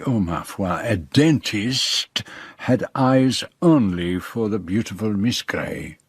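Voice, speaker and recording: A dapper, courteous detective with a French-speaking Belgian accent. His slightly husky voice is formal and precise, quietly self-assured, suited to radio drama that he also narrates. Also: fairly low pitch, full character voice.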